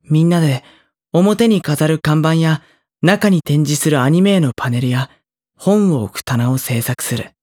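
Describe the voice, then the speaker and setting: A performer playing a gentle, hesitant high-school boy who narrates his own story in a Japanese audio drama, with a husky, breathy voice. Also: a little slow, no echo, studio quality, lightly acted. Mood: reflective, narrating.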